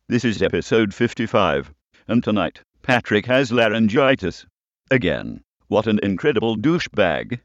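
A synthetic male voice as a snide robot announcer, giving a scripted podcast introduction in a stilted, deadpan way. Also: lowish voice, moderate pace, dry recording, dull audio. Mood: deadpan snark.

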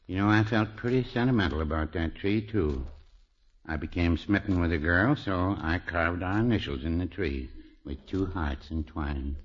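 A deep-voiced, dry, mild old townsman. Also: moderate pace, husky. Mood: wistful, deadpan. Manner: hesitant, deadpan, folksy. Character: mild, dry old small-town married man. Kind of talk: 1950s radio comedy acting. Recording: muffled vintage 1950s radio recording.

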